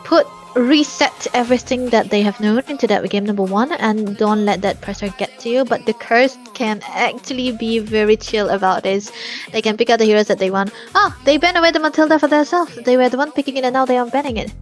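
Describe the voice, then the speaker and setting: A woman's voice: on the high side, a little fast, faint music behind. A woman casting a live esports match, breaking down plays and draft picks in detail. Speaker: esports caster.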